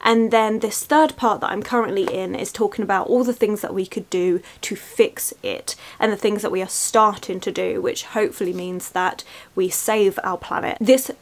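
A woman's voice: mid-range voice, steady tone, normal speed, a little hiss or hum. A young woman talking casually to camera in a reading vlog, chatty and upbeat with rambling asides, at home among her bookshelves. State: stuffy from a cold. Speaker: book vlogger. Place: small bedroom with bookshelves, indoors.

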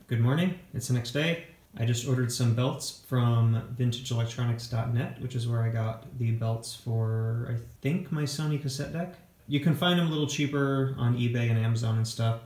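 A man's voice: mid-range voice, darkish tone. A man in his forties explaining a repair casually, in a plainspoken, matter-of-fact and slightly self-deprecating way. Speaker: home repair video host. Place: indoor home workshop.

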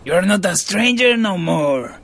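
A man voicing an over-friendly, slangy drug-dealing lizard in a video game, with a drawn-out, ingratiating delivery. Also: high-pitched, expressive, vocal fry, noisy background, full character voice. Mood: sly, friendly. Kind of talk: video game character dialogue.

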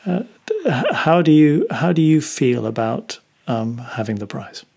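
Male interviewer, creaky breathy-edged voice, putting questions gently and haltingly. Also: mid-range voice, animated.

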